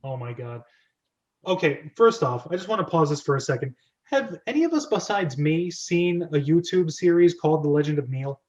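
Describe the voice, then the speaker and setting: A man's voice: on the high side, animated, normal speed, a little mellow. A man casually hosting a script read-through and organising the readers, on a slightly dull recording. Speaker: host of script reading.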